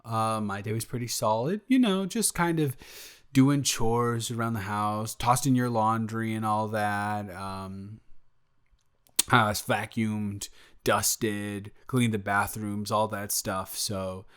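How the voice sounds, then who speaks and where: A man's voice: on the low side, steady tone, takes it slowly, clean tone. A man improvising an audio roleplay as a flustered, evasive roommate who talks straight to the listener, stammering and joking as he rambles. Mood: cheerful, casual, then casual, sheepish. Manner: rambling, stammering, self-interrupting, playful.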